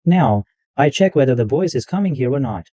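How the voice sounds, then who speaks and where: Man narrating a lively click-by-click walkthrough. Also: mid-range voice, a little fast, no room sound. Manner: narrates each click step by step, loose wording. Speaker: tutorial host. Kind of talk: screen-recorded software walkthrough. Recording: dull lo-fi dry mic sound.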